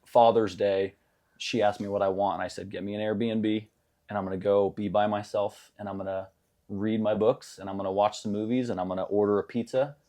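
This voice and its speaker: A man's voice: fairly low pitch, flat delivery, a little fast, dark tone. A young man answering interview questions in a casual, hesitant way, with many fillers and restarts. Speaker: gym member being interviewed.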